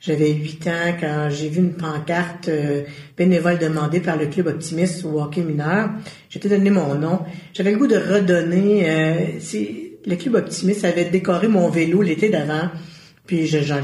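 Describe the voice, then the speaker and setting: A woman's voice: sits low, fairly even intonation, moderate pace, a little mellow. Earnest Québécois woman in an echoey room. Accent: Québécois French. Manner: earnest, searching for words as she goes. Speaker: interview guest, volunteer. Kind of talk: casual conversational interview. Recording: roomy echo.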